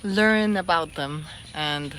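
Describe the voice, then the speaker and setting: A woman's voice: deep voice, slow pace, fairly bright tone, a little hiss or hum, rough recording. A middle-aged woman from Mexico, a TV host whose first language is Spanish, chatting openly in English with a Mexican Spanish accent and joking about her own English. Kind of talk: casual vlog chat.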